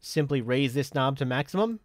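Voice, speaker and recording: A man's voice: mid-range voice, lively intonation, a little fast, no room sound. Man narrating a step-by-step tutorial, dry sound. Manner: methodical, instructional. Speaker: tutorial presenter. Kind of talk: scripted software tutorial narration.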